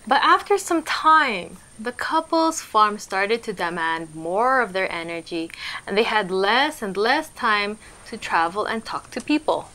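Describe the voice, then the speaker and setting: A woman reading a storybook aloud to children in an expressive, explanatory manner. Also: mid-range voice, measured pace, poor audio.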